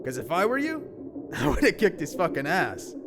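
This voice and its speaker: Man playing a cocky teenage animal boy, lively delivery. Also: high-pitched, moderate pace, creaky, background noise, heavily acted. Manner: brash, sarcastic, swearing. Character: cocky, hot-tempered teenage boy, a clawed furry animal. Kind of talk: audio drama acting.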